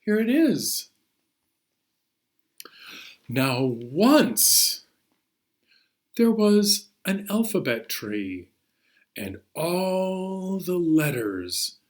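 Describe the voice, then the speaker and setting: An American man hosting a story time for young children, playful and exclaiming as he introduces songs and stories. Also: fairly high pitch.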